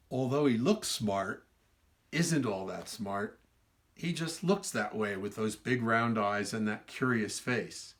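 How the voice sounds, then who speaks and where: A male storyteller with a medium-pitched voice reads a picture book aloud to children. He stretches words for emphasis and steps out of the tale to add small explanations. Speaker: storyteller reading his own book.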